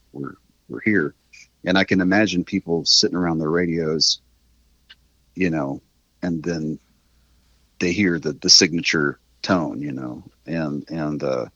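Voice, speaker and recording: A man's voice: very deep voice, sharp tone, no room sound, dull audio. A middle-aged American man reminiscing in a casual, rambling, conversational way as an interview guest. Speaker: interview guest, writer and musician.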